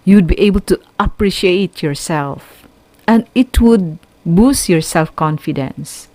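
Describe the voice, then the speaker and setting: A woman with a Filipino accent giving an instructional radio lesson in a deliberate, teacherly way. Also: on the low side, lively intonation, dark tone, no room sound.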